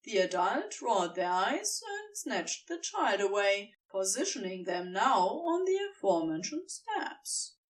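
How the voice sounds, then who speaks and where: Woman narrator, lively and unhurried. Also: medium pitch, recorded from a distance. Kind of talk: scripted storybook narration.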